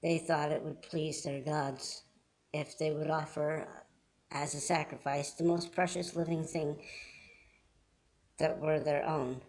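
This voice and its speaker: A man reading scripted Bible storybook narration in a measured, earnest manner. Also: fairly high pitch, level tone.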